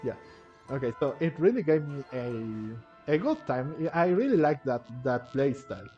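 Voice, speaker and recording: A man's voice: medium pitch, sing-song, normal speed, warm tone. A man giving casual gameplay commentary, thinking aloud hesitantly and often repeating himself. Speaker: gaming video creator. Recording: dull, lo-fi microphone. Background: faint game music.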